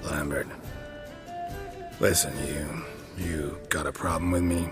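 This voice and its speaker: An actor playing a weary, dry-witted monster hunter in a video game, laconic and deadpan. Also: male voice, rumbling low, slow, music in the background. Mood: dry, teasing.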